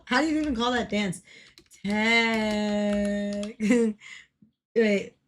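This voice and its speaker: Young woman livestreamer, slightly hoarse, a bit high-pitched, giggly, rambling, filler-heavy casual chat. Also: a bit bright. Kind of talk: casual chat while gaming.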